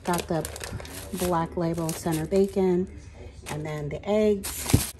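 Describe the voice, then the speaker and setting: A woman's voice: fairly low pitch, steady tone, slow pace, background noise. A woman filming her grocery shopping in a supermarket, talking casually to viewers about sale prices. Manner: chatty, practical, addresses viewers as y'all. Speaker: grocery haul vlogger. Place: supermarket aisles. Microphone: handheld phone camera. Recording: music playing in the store. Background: store background music.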